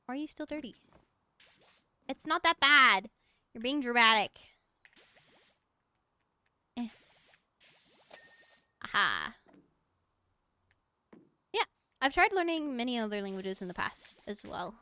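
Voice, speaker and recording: A woman's voice: on the high side, expressive, takes it slowly, pauses often, bright, forceful tone, clean tone, no room sound. A young woman streaming a game from home, chatting casually in a rambling, giggly way and talking to objects in the game. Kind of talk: casual chat while gaming.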